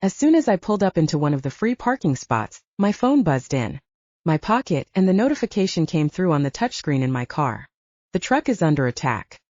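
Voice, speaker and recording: A woman's voice: on the low side, sing-song, a little fast, mellow, no echo, dull audio. A woman narrating scripted first-person fiction as an audiobook narrator.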